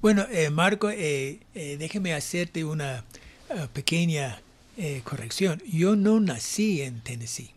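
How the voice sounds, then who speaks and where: Older male professor, American accent, native English speaker, thoughtful interview answers with frequent pauses. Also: on the high side, lively intonation. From United States (New Jersey). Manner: hesitant, reflective, frequent pauses. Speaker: academic guest, university professor.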